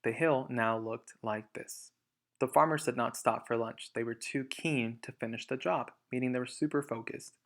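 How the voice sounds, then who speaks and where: A man's voice: mid-range voice, steady tone, moderate pace. A man reading a storybook aloud as a teacher, emphatic in narration and explanatory in asides.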